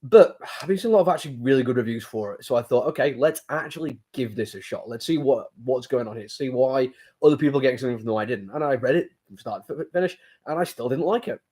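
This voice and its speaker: A man's voice: mid-range voice, expressive, fast, warm tone, breathy edge. A man with a cold and a sore throat, casually reviewing a comic book. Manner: rambling, hesitant with many fillers. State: has a cold, sore throat, blocked sinuses.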